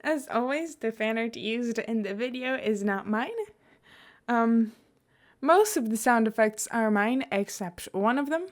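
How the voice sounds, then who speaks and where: A woman's voice: on the high side, a little slow. A young woman chatting casually to listeners as a host, hesitant, often trailing off and correcting herself. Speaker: podfic reader and host. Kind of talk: casual rambling chat to listeners.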